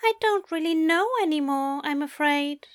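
Woman putting on a high, precise British gentleman. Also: normal speed, lightly acted. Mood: wistful, uncertain. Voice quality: precise, enunciated. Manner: genteel, over-polite, fussy. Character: polite, bewildered, posh British gentleman.

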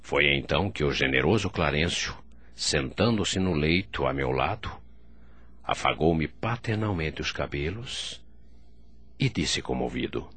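A man's voice: a bass voice, lively intonation, unhurried, a bit bright, husky, full character voice. An actor playing a remorseful doctor spirit who narrates his own story in a radio drama, solemn, formal and introspective, with a Brazilian accent. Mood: anguished remorse.

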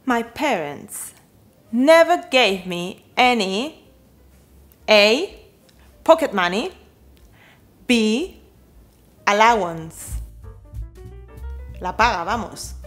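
Animated higher-pitched woman presenting a quiz. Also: very slow, pauses often, faint music behind. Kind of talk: scripted presenter narration, quiz reading.

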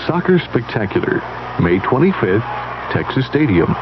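A man's voice: mid-range voice, big pitch swings, moderate pace. A man reading a promotional radio advertisement in an announcer style. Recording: dull, noisy old radio recording.

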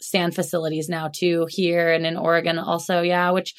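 A woman with a slightly low-pitched voice chats as a podcast guest. She rambles along easily, scattering "like"s through her sentences. She grew up in Alaska and speaks in a relaxed, conversational way. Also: moderate pace, clear, resonant tone. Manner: chatty and rambling, many "like"s. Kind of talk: casual interview chat.

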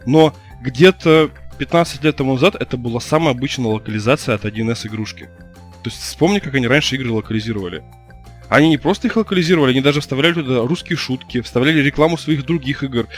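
A man co-hosting a casual podcast, telling stories in a fast, animated way. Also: medium pitch, soft background music. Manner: fast, animated, rising into excited retelling. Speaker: podcast co-host. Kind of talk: casual storytelling chat.

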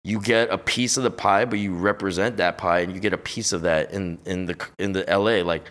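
A young man from Los Angeles rambles through a casual podcast chat, full of slang, swearing and fillers. His voice sits a little low. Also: fairly even intonation, a little fast, fairly bright tone. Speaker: podcast guest. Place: hot room on a high floor.

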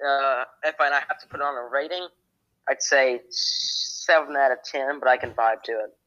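A young man holds forth on a homemade podcast, boasting and cracking jokes in a rambling way. His voice sits at a middling pitch, and the recording sounds dull and lo-fi. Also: moderate pace, sharp tone. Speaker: podcast guest. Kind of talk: casual podcast banter.

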